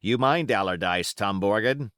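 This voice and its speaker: A man's voice: lowish voice, expressive, normal speed, a bit bright, dry recording, studio quality. A man voicing a cunning, commanding pirate cook with folksy seafaring dialect and emphatic oaths, in an audiobook. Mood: musing, uneasy. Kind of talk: audiobook character voice.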